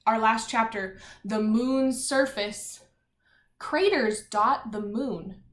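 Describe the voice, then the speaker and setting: A woman teacher reading aloud to young children, explaining things simply and thinking aloud as she goes. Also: a little high. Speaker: primary school teacher. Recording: echoey room.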